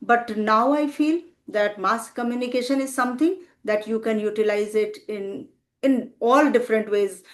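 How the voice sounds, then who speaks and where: Older woman, retired teacher type, slightly high voice, asks slow thoughtful questions. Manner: thoughtful, pauses with "uh", asks long framing questions. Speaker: YouTube English teacher interviewing a guest. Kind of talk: slow, encouraging conversation in English as a second language.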